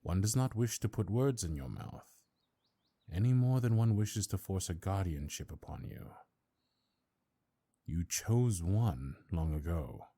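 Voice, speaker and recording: A stately, ancient high councilor from a fantasy audio drama, acted by a man. The voice is low and soft-edged, with an expressive, rolling melody. He speaks formally and pompously, laying on courtesy with sly condescension. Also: a little slow, full character voice. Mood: measured, imperious. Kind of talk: audio roleplay fantasy acting.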